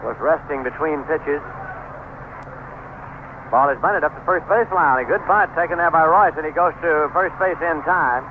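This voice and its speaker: A man calling a baseball game as play-by-play announcer on radio, in a measured delivery with pauses. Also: on the high side. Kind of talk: live radio sports commentary. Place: stadium broadcast booth. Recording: narrowband, noisy old AM radio broadcast.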